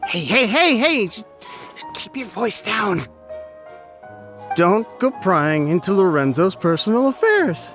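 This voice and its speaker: A man's voice: high voice, big pitch swings, slow, over music, exaggerated voice. A jittery tipsy man. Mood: fearful. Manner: rambling, hushed and jumpy, stammers. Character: tipsy, nervous middle-aged man, jittery and fearful. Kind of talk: video game dialogue.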